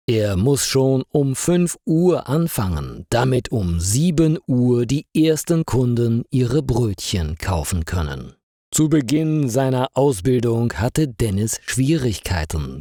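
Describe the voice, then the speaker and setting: A man narrating a scripted story for language learners in a careful, deliberate way. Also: medium pitch, dark tone, no room sound, studio quality. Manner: careful, clearly paced for learners.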